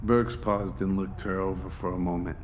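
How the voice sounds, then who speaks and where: A man's voice: deep voice, steady tone, normal speed, a little hiss or hum. A man narrating a scripted audio drama.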